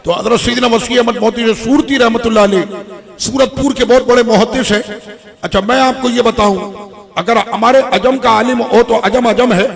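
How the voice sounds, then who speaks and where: A man's voice: extremely high pitch, fairly bright tone. A man preaching an impassioned sermon, stacking repeated phrases as he builds to his points. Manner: impassioned, repetitive rhetorical build-ups. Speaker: Islamic preacher.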